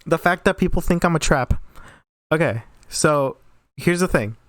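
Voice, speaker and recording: A man's voice: fairly high pitch, lively intonation, normal speed, a bit bright, no echo, studio quality. A teenage boy from Mexico with a light Mexican Spanish accent, chatting casually on a podcast, giggling often and restarting his sentences. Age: teens. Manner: giggly, rambling with many restarts. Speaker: podcast host. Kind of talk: casual chat.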